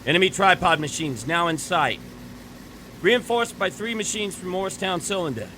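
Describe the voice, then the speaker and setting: An actor playing an urgent army lieutenant reporting from battle in 1930s radio drama style. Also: male voice, high-pitched, moderate pace, sharp tone, a little hiss or hum, poor audio. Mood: grim, clipped. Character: frantic army artillery spotter, then grim bomber pilot lieutenant.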